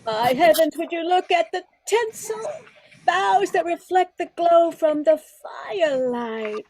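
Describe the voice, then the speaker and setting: A woman's voice: extremely high pitch, expressive, unhurried, a little mellow, soft background music, rough recording. A woman playing a wistful, genteel old Christmas carol come to life, with theatrical delivery in a radio play. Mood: hopeful. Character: wistful, prim old female Christmas carol sheet music.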